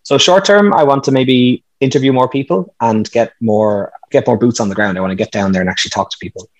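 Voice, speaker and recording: A man's voice: medium pitch, fast. A man talking earnestly in a casual interview, explaining at length with some restarts. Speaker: podcast host, interview guest.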